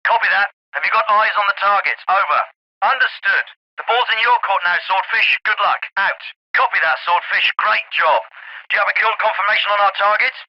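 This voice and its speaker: An actor playing a curt, commanding British navy captain in a military game, with clipped, authoritative speech through a radio filter. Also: male voice, high voice, fairly quick, no room sound. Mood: brisk, controlled. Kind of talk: scripted military radio dialogue. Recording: muffled, band-limited noisy radio transmission.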